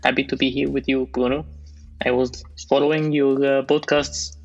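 A man in his thirties from Egypt, a software developer speaking as a podcast guest in a measured, thoughtful way, often pausing to rephrase. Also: medium pitch, little pitch movement, creaky, lo-fi sound, soft background music. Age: 30s. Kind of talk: casual interview.

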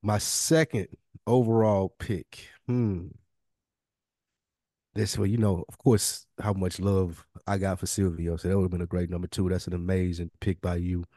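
Male co-host, deep-leaning mellow voice, dry close sound, laid-back slangy podcast chat. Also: fairly even intonation, moderate pace, no echo.

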